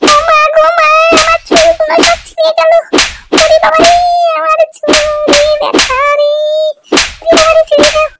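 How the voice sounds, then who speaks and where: A woman voicing a foul-mouthed, put-upon wife in a cartoon comedy, dramatic and shrill. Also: flat delivery, moderate pace, over loud music. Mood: pained wailing. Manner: scolding, wailing, dramatic.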